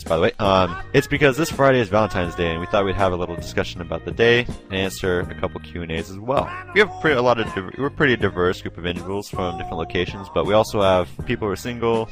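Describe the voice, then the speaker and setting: A man in his twenties from Oregon, hosting a casual group podcast, joking and reading listener posts aloud. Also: low voice. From Oregon, USA. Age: 20s. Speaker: podcast host.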